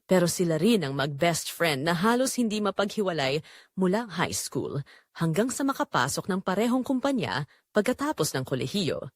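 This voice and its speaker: A woman with a Filipino accent narrating a scripted romance story in an expressive, measured way with dramatic pauses. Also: fairly low pitch, dry recording, clean studio sound.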